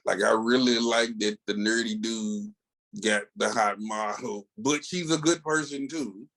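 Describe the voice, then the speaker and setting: A man's voice: lowish voice, fairly even intonation, measured pace, a bit bright. An American man co-hosting a podcast in casual fan chat, telling stories enthusiastically with slangy asides. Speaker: comics podcast co-host.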